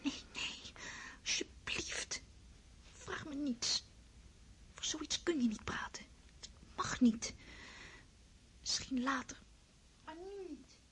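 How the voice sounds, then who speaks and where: A woman's voice: high voice, lively intonation, slow pace, pauses often, a bit bright, heavily acted. An actress playing an eager, romantic young countess who talks in a girlish way and often drops to a whisper. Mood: dreamy whispers.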